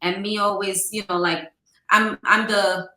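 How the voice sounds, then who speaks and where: Interview guest, woman born in Guyana, chatty storyteller with a bright tone in a roomy space. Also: mid-range voice, steady tone, measured pace. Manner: warm storytelling with fond anecdotes. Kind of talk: casual interview.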